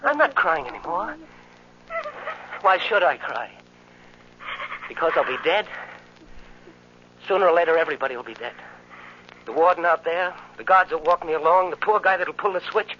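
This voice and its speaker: Actor as high-voiced, earnest condemned young man. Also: expressive, moderate pace, long pauses, close to an everyday voice. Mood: anguished. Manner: earnest, emotional, plain working-man speech. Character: tender, resigned young man condemned to death. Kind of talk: 1940s radio drama acting. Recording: muffled, noisy old radio recording.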